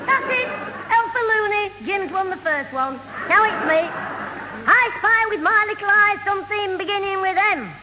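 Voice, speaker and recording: A man's voice: very high-pitched, normal speed. A man playing a cheeky, wisecracking young schoolboy with a Northern English accent in an old radio comedy. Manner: pert, wisecracking. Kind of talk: 1970 radio comedy acting. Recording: noisy, muffled old radio recording.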